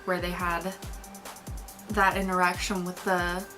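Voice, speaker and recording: A woman's voice: fairly low pitch, completely flat delivery, unhurried, music in the background. A woman co-hosting a casual movie review, talking informally and enthusiastically with slang.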